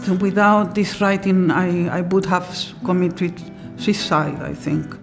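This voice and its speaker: Creaky, flat-toned older woman. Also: fairly low pitch, measured pace. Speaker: documentary interviewee, former university tutor. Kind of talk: personal testimony. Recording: guitar music behind the voice.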